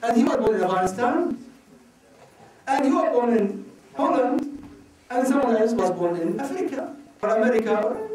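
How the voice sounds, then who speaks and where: A man from Iraq answering audience questions at a religious talk, speaking slowly and deliberately and often asking rhetorical questions. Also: very high-pitched, sing-song, crowd noise. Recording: echoey hall, mic far off.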